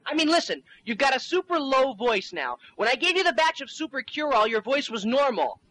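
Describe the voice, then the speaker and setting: A man voicing a squeaky-voiced young inventor who stammers and gets flustered, in a home-taped comedy sketch. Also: sing-song, a little fast, sharp tone, heavily acted, poor audio. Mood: coaxing. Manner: sputtering, stammering, easily flustered.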